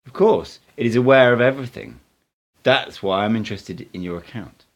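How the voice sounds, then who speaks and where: A man's voice: medium pitch, moderate pace, lightly acted. A man voicing a playful, assured, cryptic male mystic teacher in an audiobook, speaking in emphatic declarations. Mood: assured, instructive. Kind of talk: audiobook character dialogue.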